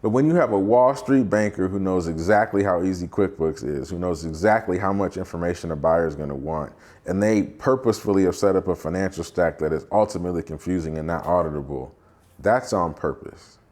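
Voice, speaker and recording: American male advisor, low register, emphatic and plain-spoken explainer storytelling. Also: brisk, darkish tone. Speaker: due diligence advisor, quality of earnings provider. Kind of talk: explanatory storytelling to camera with acted-out dialogue.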